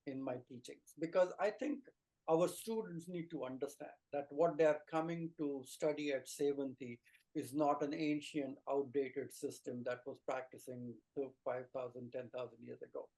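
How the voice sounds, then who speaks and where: A man's voice: mid-range voice, steady tone, normal speed, darkish tone, rough recording. A middle-aged man with an Indian accent, an Ayurvedic teacher explaining earnestly as an interview guest, building his points in short phrases and lists. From rural India.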